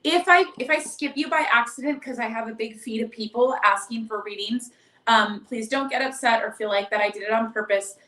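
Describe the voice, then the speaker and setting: A woman with a hoarse voice chatting casually and affectionately as she hosts a livestream as a tarot reader, reading out viewer comments. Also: a little high, a little fast, bright, forceful tone. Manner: effusive, affectionate greetings and endearments. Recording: echoey, roomy live stream.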